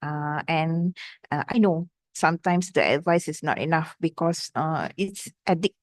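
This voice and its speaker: A woman's voice: low voice, measured pace, dry recording, studio quality. A woman with a Malaysian accent, a native Malay speaker, giving informal advice to students and mixing Malay phrases into her English. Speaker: guest speaker at an academic webinar.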